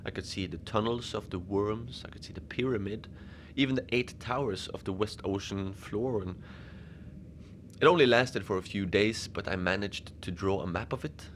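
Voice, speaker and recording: A man playing a lonely, gentle Antarctic facility manager, rambling hesitantly and apologetically in a cozy fiction podcast monologue. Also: lowish voice, normal speed, slight background noise, natural voice. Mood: chatty, wry. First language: Norwegian. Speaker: podcast narrator.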